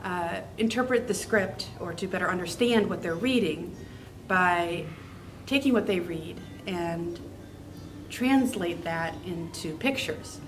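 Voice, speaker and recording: A woman who teaches explains things informally in a slightly low voice. Music plays clearly behind her. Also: takes it slowly. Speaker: art and theater teacher. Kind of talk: informal interview testimonial.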